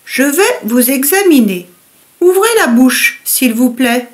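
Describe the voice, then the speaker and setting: A calm, measured doctor played by a woman examines a patient in a scripted dialogue for learners. Her voice is high and lively, and she speaks at an unhurried pace.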